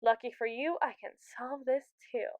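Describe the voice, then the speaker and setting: A woman's voice: fairly high pitch, steady tone, normal speed, no echo, highs cut off, close to an everyday voice. A woman playing a haughty, impatient evil robot who scorns humans in an ASMR audio roleplay, condescending, sarcastic and theatrical. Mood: smug, reasoning.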